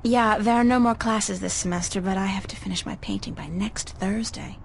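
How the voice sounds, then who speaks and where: An actress playing a casual, curious young art student in a video game, with a dry, sardonic manner. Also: female voice, medium pitch, steady tone, moderate pace, gravelly, a little hiss or hum, close to an everyday voice. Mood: casual, friendly. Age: young adult. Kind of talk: video game dialogue acting.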